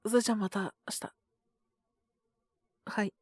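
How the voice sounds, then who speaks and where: A woman playing a sick young male schoolteacher in a Japanese audio drama, gentle and flustered, speaking hesitantly in a weak, feverish mumble. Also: medium pitch, fairly even intonation, dry recording, clean studio sound, close to an everyday voice. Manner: hesitant, mumbling, trailing off with many pauses. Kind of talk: Japanese boys' love situation voice drama.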